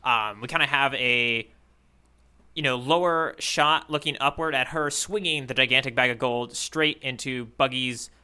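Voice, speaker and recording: A man co-hosting a podcast, chatting casually about comics in a halting way, often restarting his sentences. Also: medium pitch, sharp tone, clean tone. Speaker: podcast co-host. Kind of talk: casual chat about a manga.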